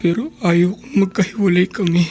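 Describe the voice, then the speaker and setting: An actor playing a destitute, stubborn but remorseful teenage son in a Filipino radio drama, with a gravelly voice and a halting, plaintive delivery. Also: mid-range voice, steady tone, a little mellow, lightly acted. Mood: hurt. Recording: background music under the voice.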